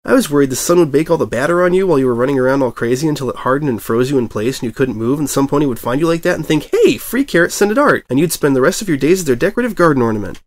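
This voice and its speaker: A man voicing an excitable young female pony from a cartoon fan story, gushing, exuberant and playful. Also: on the high side, rapid-fire, hardly any pauses, pitched above the performer's own voice, lightly acted. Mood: chatty, cheerful.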